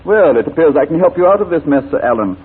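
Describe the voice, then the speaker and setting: A smooth, unrepentant blackmailer in 1940s radio drama. His voice is very husky and swings freely in pitch, with glib, mock-polite delivery. The old broadcast sounds thin and phone-like. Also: sing-song, quick pace, heavily acted. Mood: smooth, breezy. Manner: glib, nonchalant, mock-courteous. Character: suave, brazen male blackmailer and killer, coolly evasive.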